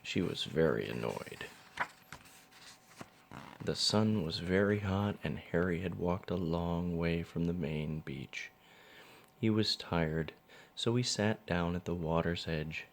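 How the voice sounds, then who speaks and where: A man's voice: low-pitched, takes it slowly, gravelly. A man reading a children's storybook aloud as narrator. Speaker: father reading a picture book.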